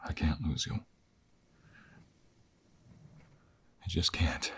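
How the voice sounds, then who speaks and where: A man voicing a desperate, protective young mobster in an ASMR romance roleplay, intense and emotional, with a husky, breathy, gravelly low voice that often drops to whispers. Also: fairly quick, a bit bright, full character voice. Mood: guilty, shaken.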